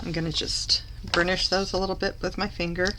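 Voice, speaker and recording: A woman recovering from a cough, slightly hoarse, chatting through a papercraft tutorial as she works and correcting herself along the way. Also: on the low side, level tone, fairly quick, very noisy. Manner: chatty, self-correcting, talks while working. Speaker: papercraft demonstrator. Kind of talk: live craft tutorial.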